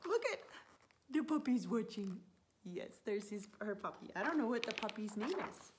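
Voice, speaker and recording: A woman in her thirties, a teacher reading a picture book aloud to young children in an expressive, animated manner with drawn-out words. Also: a little high, slight background noise. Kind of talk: expressive children's story time read-aloud. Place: living room, indoors on a sofa.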